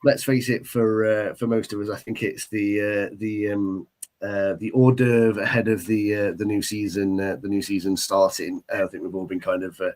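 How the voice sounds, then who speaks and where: A man's voice: lowish voice, level tone, moderate pace, a little mellow. Man, british, podcast host, congested with a cold, rambling casual chat. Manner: rambling, many ums and restarts.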